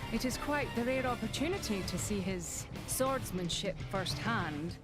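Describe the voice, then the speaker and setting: A woman voicing a solemn, formal and reserved young warrior heroine in a video game, speaking in a measured, grave way. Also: mid-range voice, expressive, loud music behind the voice, close to an everyday voice. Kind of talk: video game cutscene acting.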